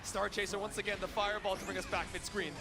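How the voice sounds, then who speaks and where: Fast, high-voiced man calling a game match. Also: little pitch movement, gravelly, music in the background. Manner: rapid jargon-packed patter. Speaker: esports match commentator. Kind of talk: live play-by-play game commentary.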